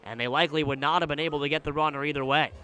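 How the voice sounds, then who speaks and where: A man doing live radio play-by-play commentary of a baseball game, describing the action in a measured way that rises when the play picks up. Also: a little high, steady tone, bright, forceful tone, clean tone, soft background music. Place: live at an outdoor ballpark.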